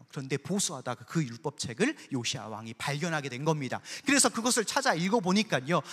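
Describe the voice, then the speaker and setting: A man preaching in a church sanctuary, his voice rising and falling with great animation and a long reverb trailing each phrase. He is a pastor from Korea's Jeolla region who presses his points with emphatic rhetorical questions. His voice sits on the higher side. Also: fairly bright tone. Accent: Seoul Korean, trying to hide his Jeolla dialect. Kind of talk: sermon.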